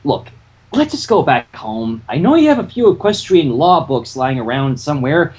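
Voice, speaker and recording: A man's voice: on the high side, very animated, moderate pace, a little mellow, breathy edge. A man voicing an impatient, grumbling little male cartoon dragon sidekick. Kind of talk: fan fiction character reading.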